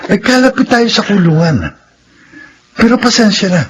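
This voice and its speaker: A man's voice: high-pitched, lively intonation, normal speed, a little hiss or hum. A man playing a humble, earnest ex-convict father rebuilding his life in a radio drama. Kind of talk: classic radio drama acting.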